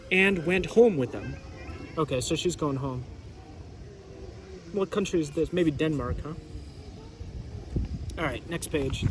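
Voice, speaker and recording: A man's voice: fairly high pitch, noisy background. A man reading a storybook aloud as a teacher, casually chatting and prompting listeners with questions.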